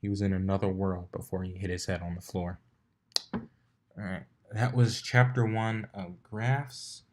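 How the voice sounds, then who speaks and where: Low-pitched man narrating a story. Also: steady tone, unhurried, a little hiss or hum. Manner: stumbles and rereads phrases. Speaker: fan fiction reader.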